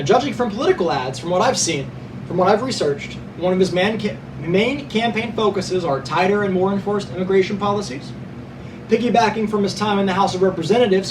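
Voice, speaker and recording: A young male podcast host reading prepared news notes, sometimes stumbling and correcting himself, with casual asides to listeners. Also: sits high. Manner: reads from notes, stumbles and corrects himself, stresses being unbiased. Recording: distant mic with clear background noise.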